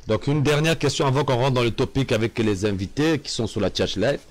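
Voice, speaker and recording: A man's voice: medium pitch, brisk. A man hosting a political interview, wordily circling and rephrasing his questions. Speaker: interviewer, show host.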